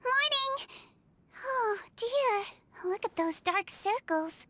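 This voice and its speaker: A woman voicing a small, sweet young female melusine nurse in a video game, with a childlike voice and a gentle, earnest, reassuring manner. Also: very high-pitched, expressive, extremely slow, no room sound, full character voice. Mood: caring. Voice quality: childlike, girlish. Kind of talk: video game character voice lines. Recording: studio voice over game music.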